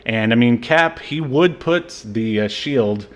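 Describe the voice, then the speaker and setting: Man, mid-pitched, wry co-host in a laid-back film review chat. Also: animated, takes it slowly. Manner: wry, sarcastic. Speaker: podcast co-host. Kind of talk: casual movie review chat.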